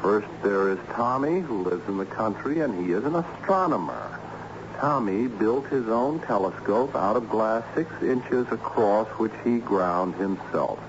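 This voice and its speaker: An actor playing a harried, ironic assistant principal in an old-time radio drama, theatrical and patiently explanatory. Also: male voice, on the low side, lively intonation, measured pace. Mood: enthused, explanatory. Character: harried, excitable male school assistant principal with ironic humour. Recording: dull, noisy old radio recording.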